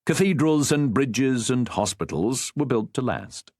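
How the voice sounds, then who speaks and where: A man narrating a historical novel as an audiobook, with a measured, formal storytelling delivery. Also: mid-range voice, lively intonation, a little mellow, no room sound, clean studio sound.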